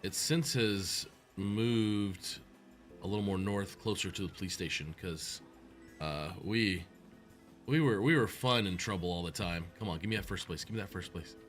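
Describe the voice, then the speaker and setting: Low-voiced chatty man from Oklahoma. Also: normal speed, fairly bright tone, faint music behind. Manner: chatty, rambling, laughs easily. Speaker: podcast host. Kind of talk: casual interview chat.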